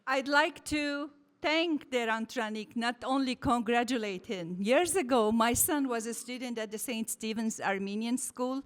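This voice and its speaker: A woman's voice: on the high side, measured pace, few pauses. A woman from Lebanon giving an earnest, heartfelt address at a community lodge meeting in a church hall. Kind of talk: formal address. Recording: hall reverb.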